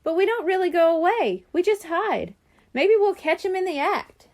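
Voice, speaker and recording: A woman's voice: extremely high pitch, lively intonation, moderate pace, a little mellow, pitched above the performer's own voice. A woman voicing a clever, confident young girl planner in a children's storybook. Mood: scheming.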